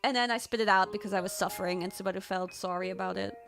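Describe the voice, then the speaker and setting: A woman streamer telling stories in casual chat, animated, jumping to squealed quotes. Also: medium pitch, a little fast, soft background music. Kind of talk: casual chat, recapping a trip.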